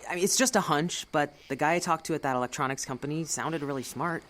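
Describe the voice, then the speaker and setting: A woman's voice: deep voice, quick pace, fairly bright tone, slight background noise. A woman voicing a shy, awkward young man who stammers and hesitates, in an audio drama. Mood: shy, earnest.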